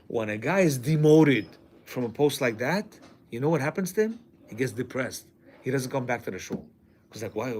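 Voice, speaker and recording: A middle-aged man with a loud voice giving a lively, jokey class to a group of men, acting out what people said. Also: medium pitch, slightly rough. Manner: animated, jokey, acts out quotes. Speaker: class teacher. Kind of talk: lively lecture to a men's class.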